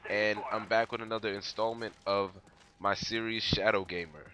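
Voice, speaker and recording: A man's voice: fairly low pitch, fairly even intonation, moderate pace. A young man with a stuffy cold, rambling casually through his gameplay commentary. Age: young adult. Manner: rambling, laid-back, many fillers.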